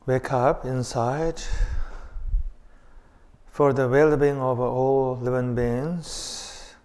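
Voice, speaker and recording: A man leading a guided meditation, speaking in short phrases with long pauses. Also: medium pitch, steady tone, darkish tone.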